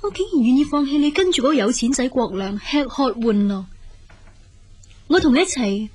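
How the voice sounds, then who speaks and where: High-voiced, husky younger sister. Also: female voice, animated, moderate pace, a little mellow, dry recording. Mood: regretful. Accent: Hong Kong Cantonese. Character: regretful, grateful younger sister leaving for university. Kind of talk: radio drama acting.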